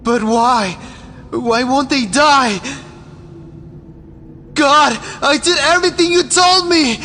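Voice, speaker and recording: A man's voice: extremely high pitch, takes it slowly, bright, forceful tone, a little hiss or hum, heavily acted. An actor in an anime fan dub playing a fanatical, desperate male devotee who is coming unhinged. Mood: anguished despair.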